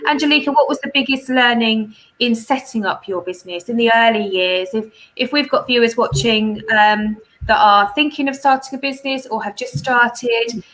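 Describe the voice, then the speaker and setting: A woman's voice: a little high, normal speed, few pauses, fairly bright tone, rough recording. Upbeat woman interviewing a guest. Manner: encouraging, asks follow-up questions. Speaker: interview host, business coach. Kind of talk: interview questions.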